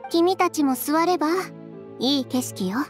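High-voiced, self-assured young designer. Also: female voice, lively intonation, unhurried. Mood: cool, unbothered. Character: self-assured, blunt young female fashion designer, independent. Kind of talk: Japanese anime game dub acting. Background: faint background music.

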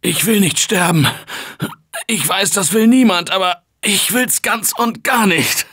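A man voicing an earnest, panicky office guy surviving a zombie apocalypse in a video game, husky and emphatic in colloquial short barks. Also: sits high, unhurried, sharp tone, exaggerated voice. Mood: pained, despairing.

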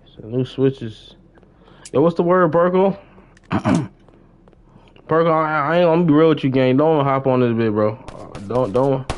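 A man's voice: medium pitch, unhurried, long pauses, slight background noise. Young American male game streamer, slangy, repetitive casual chat, mid-range voice, dull lo-fi mic. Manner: slangy, repetitive greetings to viewers. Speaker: livestreamer. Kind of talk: casual gaming livestream chat.

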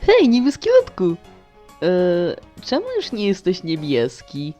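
A man's voice: high voice, wildly swooping pitch, slow pace, heavily acted. A man voicing a carefree, easily bored little boy prince in a cartoon fan dub, with a blunt, playful, childish way of talking. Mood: cheeky, carefree. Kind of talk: fan-dub comic acting. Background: music.

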